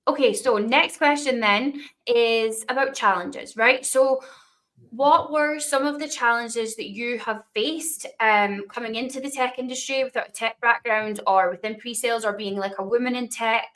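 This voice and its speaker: A woman's voice: on the high side, fairly even intonation, moderate pace, a bit bright. A woman hosting a podcast interview, chatting casually and checking in with "right?" as she goes. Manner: friendly, frequent "yeah" and "right?". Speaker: podcast host.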